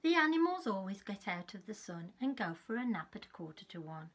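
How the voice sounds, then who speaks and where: A woman in her fifties reads a children's story in a measured, expressive way, with a slightly husky voice. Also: mid-range voice. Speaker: teacher reading a storybook to young children. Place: small furnished room at home, indoors.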